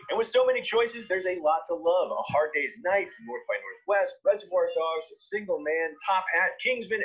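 A man's voice: high-pitched, slightly rough, dull audio. A man narrating a scripted pop-culture countdown in a cheeky, casual, emphatic manner. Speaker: video list narrator.

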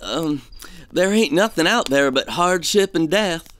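An actor playing a superstitious, fearful treasure hunter in an audio drama, with a folksy, foreboding way of talking. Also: male voice, on the high side, animated, a little slow, a bit bright, a little hiss or hum. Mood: fearful, reluctant.

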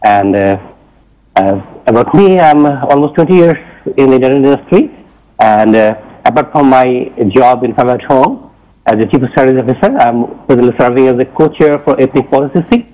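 A man's voice: medium pitch, expressive, fairly quick, warm tone. A middle-aged man with a Bangladeshi accent introducing himself to a meeting as a delegate. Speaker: technical community delegate, industry executive. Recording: dull, muffled remote audio.

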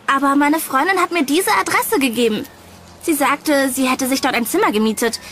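A woman's voice: high voice, moderate pace, a bit bright, slight background noise. A woman playing a worried, insistent young woman in a horror audio drama, earnest and anxious. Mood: puzzled, insistent. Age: young adult.

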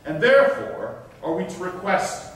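A man's voice: high voice, expressive, measured pace, fairly bright tone. An actor playing a scornful, imperious governor in a classical verse stage reading, declaiming his commands. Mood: commanding. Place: stage of an auditorium, indoors. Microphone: distant from the audience area. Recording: echoey concert hall, far microphone.

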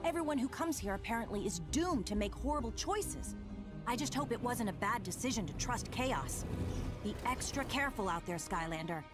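A woman voicing a sensible, brisk female adventurer guide in a video game, matter-of-fact in manner. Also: fairly high pitch, expressive, over loud music, natural voice. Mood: cautious.

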